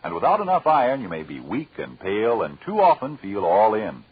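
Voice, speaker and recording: Very raspy, deep male radio announcer. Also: wildly swooping pitch, a little fast, dry recording. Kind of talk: 1940s radio announcing and sales pitch. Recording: dull, lo-fi old radio recording.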